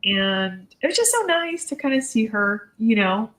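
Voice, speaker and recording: A woman's voice: a little high, sing-song, moderate pace, fairly bright tone. Reflective woman in casual book chat. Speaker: book discussion panelist.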